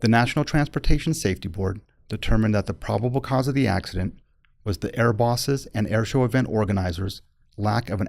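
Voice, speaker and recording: Low, gravelly male report narrator. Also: a little mellow, no room sound. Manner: measured, impersonal, factual. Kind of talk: formal scripted accident report narration. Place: close, dry recorded voice-over.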